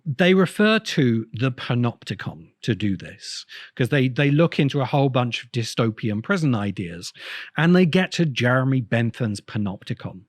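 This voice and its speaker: A man giving informal, intellectual commentary as an essay host, thinking aloud with pauses and repeated words as he explains. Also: medium pitch, sing-song, dry recording. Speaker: host of a science fiction video essay channel. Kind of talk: informal spoken commentary and analysis.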